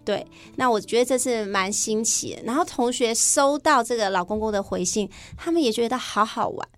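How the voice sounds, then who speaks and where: Woman, higher-pitched, Taiwanese Mandarin accent, enthusiastic teacher, casual interview chat, faint music. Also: normal speed. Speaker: English teacher, interview guest.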